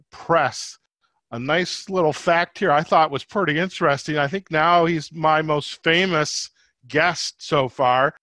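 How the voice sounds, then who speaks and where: A man's voice: on the high side, fairly bright tone, dry recording. A man hosting an interview podcast, presenting and asking questions as the host.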